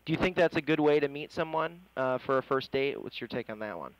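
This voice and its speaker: A man's voice: a little high, quick pace, dry recording, rough recording. An American man working as an interviewer, chatting informally as he reads out questions in a casual interview. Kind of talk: casual phone interview, reading out reader questions.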